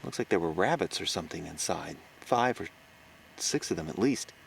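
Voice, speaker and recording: Frightened male reporter for horror audio drama, played by an actor: low, creaky voice, stammering into his recorder outdoors. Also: expressive. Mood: tense, watchful. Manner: halting, stammering, gasping exclamations. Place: outdoors on a farm. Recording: noisy outdoor location recording.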